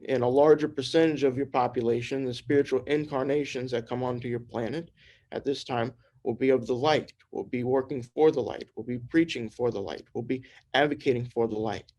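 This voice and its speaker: A man voicing an ancient spirit presence through trance channeling, speaking in slow, formal pronouncements. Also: mid-range voice, steady tone, a little mellow, natural voice, poor audio. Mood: solemn.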